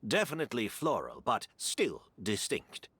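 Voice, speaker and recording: A man voicing a courteous, composed gentleman in a video game, with a polished, gracious manner. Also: mid-range voice, wildly swooping pitch, a little slow, slightly rough, dry recording, exaggerated voice. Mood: intent, explaining.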